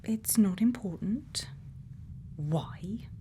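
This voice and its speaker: Slow, whispery ASMR woman. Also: mid-range voice, a little hiss or hum. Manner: slow, hushed, deliberate, with thoughtful pauses. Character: gentle, caring female scientist tending a robot. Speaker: ASMR creator. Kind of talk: ASMR roleplay, softly spoken and whispering.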